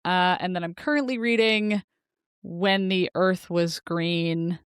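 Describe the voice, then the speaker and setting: A woman's voice: fairly low pitch, fairly even intonation, takes it slowly, clear, resonant tone, no echo, clean studio sound. A woman co-hosting a podcast, chatting casually about books in a giggly, enthusiastic way. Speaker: podcast co-host. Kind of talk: casual book chat.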